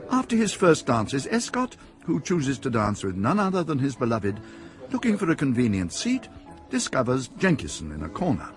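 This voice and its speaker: A man narrating a radio drama in a wry, measured storytelling manner. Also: medium pitch.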